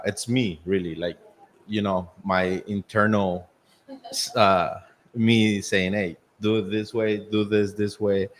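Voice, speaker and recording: Very low-voiced man with a Mexican accent. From Mexico City, Mexico. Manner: halting, many fillers and restarts. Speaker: restaurant owner, guest. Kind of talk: casual interview chat. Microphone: shared handheld mic.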